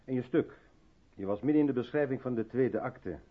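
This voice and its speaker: A man's voice: medium pitch, brisk, husky, lightly acted. An actor playing a polite, reserved South African man in a 1970s Dutch radio play, speaking with attentive restraint. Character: courteous, reserved South African man living in modest room. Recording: dull vintage radio recording, music behind.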